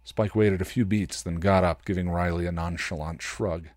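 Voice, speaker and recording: A man reading fan fiction aloud as a podcast host, in expressive story narration. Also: low-pitched, normal speed, dry recording, studio quality.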